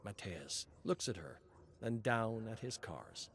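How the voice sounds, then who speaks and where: Slow-paced man narrating a dramatic story. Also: fairly low pitch, big pitch swings, vocal fry, slight background noise. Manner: measured, solemn storytelling with dramatic pauses.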